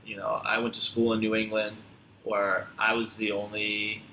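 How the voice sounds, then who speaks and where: A man from Tanzania who grew up in upstate New York, talking casually and reflectively as a podcast guest, often halting and repeating phrases. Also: lowish voice, monotone, sharp tone. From born in Tanzania, grew up in upstate New York. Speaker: podcast guest, physical therapist. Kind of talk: casual conversational storytelling. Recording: roomy echo, clear background noise.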